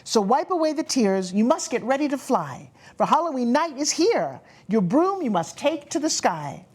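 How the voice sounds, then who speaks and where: A woman voicing a kindly magical letter writer who grants second chances in gentle, solemn storybook rhyme. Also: high voice, very animated, normal speed, slightly rough, full character voice. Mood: kindly, instructing.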